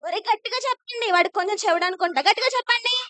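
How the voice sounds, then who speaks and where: A woman's voice: extremely high pitch, rapid-fire, pushed and bright, dry recording. A woman hosting a Sunday school puppet show, addressing children live in a bossy, teacherly way. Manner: bossy, teacherly, repeatedly instructing.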